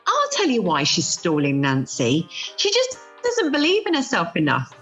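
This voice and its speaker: A woman's voice: medium pitch, huge pitch swings, normal speed, a bit bright. A woman playing a cheeky, bossy, loyal friend in an amateur radio play, recorded over a video call. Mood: bossy, supportive. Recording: dull home video-call recording with music.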